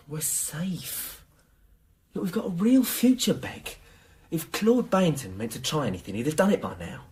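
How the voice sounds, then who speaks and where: A man's voice: on the high side, wildly swooping pitch, a little slow, pauses often, darkish tone, slight background noise. A man playing an eager, cocky young small-time crook in a naturalistic radio drama, keen to reassure and persuade. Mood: defensive, reassuring.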